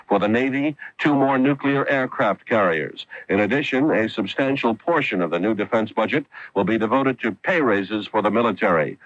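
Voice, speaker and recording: Deep-toned American news correspondent, old radio sound. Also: male voice, a bit bright, no room sound. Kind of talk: scripted radio news report. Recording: dull lo-fi 1980s radio broadcast.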